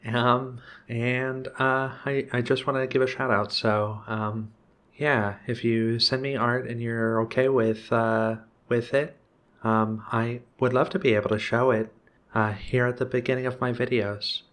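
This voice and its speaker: A man giving soft-spoken channel announcements as an ASMR host, in a gentle, halting way. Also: medium pitch, level tone. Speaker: ASMR video creator and host.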